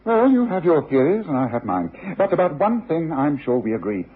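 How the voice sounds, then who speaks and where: An actor playing a shrewd, secretive British gentleman detective with a brisk, authoritative manner in old radio drama style. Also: on the high side, very animated, slightly rough. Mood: wry, composed. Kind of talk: 1950s-60s radio drama acting. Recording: muffled, noisy old radio recording.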